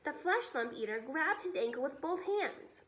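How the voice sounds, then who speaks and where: A woman reading a children's story aloud as expressive scripted narration. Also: high voice, normal speed. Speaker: storybook reader.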